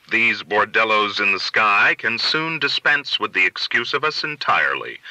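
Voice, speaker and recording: A man reading literary fiction aloud as an audiobook narrator, in a deliberate, theatrical way, phrase by phrase. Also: medium pitch, sharp tone, dry recording, rough recording. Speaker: audiobook reader.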